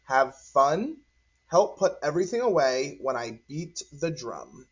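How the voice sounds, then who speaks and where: A husky-voiced man reads a picture book to children. His pitch runs high and swings freely as he tells the story, and the recording is slightly dull and lo-fi. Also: big pitch swings, unhurried. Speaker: read-aloud storyteller. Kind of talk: animated picture-book read-aloud for children.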